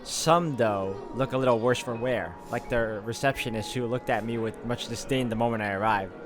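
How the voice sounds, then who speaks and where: A man at a middle pitch hosts a visual novel playthrough. He shifts between casual commentary and reading the story's narration aloud. Game music plays clearly behind his voice. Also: normal speed. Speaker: video game walkthrough host.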